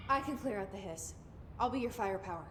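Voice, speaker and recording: A woman's voice: medium pitch, animated, quick pace, noisy background, lightly acted. An actress playing an earnest, determined young woman in a video game, sincere and understated in delivery. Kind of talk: video game cutscene acting.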